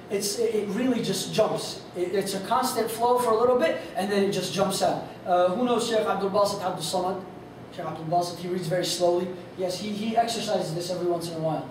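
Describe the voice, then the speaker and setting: Guy teaching casually, lots of ums, voice going hoarse, super echoey room far off mic. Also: sits high, quick pace. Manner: casual, rambling, frequent fillers. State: losing his voice. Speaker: instructor on Quran recitation. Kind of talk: informal lesson with sung demonstrations. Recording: echoey hall from far off, faint noise.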